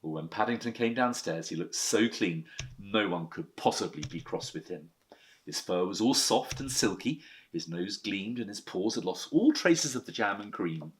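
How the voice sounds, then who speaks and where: A man in the role of a headteacher, reading a children's story with animated narration. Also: lowish voice, normal speed, slight background noise.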